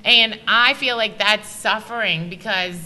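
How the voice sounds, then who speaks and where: A woman's voice: medium pitch, unhurried, crowd noise, poor audio. A woman in her thirties on a university panel, speaking in an earnest, direct way on a table microphone. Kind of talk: casual panel talk. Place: university meeting room.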